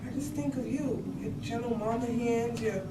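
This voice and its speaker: A searching, devoted daughter, acted by a woman in a staged poetic monologue. Her fairly high voice moves in tender, halting phrases. It is picked up from a distance with loud noise around it. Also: lightly acted. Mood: lost, tender. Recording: distant, echoey, heavy room noise.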